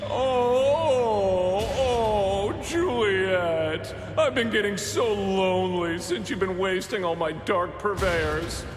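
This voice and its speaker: A man's voice: high voice, takes it slowly, hardly any pauses. A man voicing a smug, theatrical young mastermind villain in a game cutscene, drawing out his words. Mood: gloating. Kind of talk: video game villain acting. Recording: echoey hall reverb, music behind.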